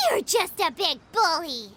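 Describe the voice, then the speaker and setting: A woman voicing a small, squeaky, feisty childlike companion in a video game. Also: noisy background, full character voice.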